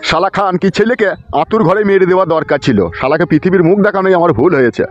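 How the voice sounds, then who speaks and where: A man's voice: high-pitched, fast talker, highs cut off, lightly acted. A man voicing an irate village father in a comedy cartoon, ranting and scolding with foul-mouthed indignation. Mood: furious scolding.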